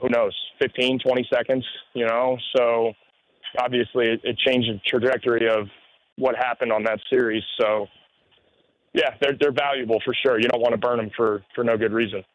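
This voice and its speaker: A man who coaches high school football, explaining things in spontaneous interview answers and often saying "you know". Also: level tone, moderate pace. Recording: dull lo-fi radio audio.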